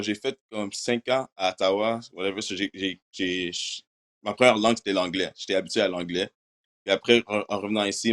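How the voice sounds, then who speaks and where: A young man from Quebec with a notably low voice, answering questions in a relaxed interview. His first language is English, and he talks loosely, dropping English filler words between his French phrases. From Quebec, Canada. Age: young adult. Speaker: university football player.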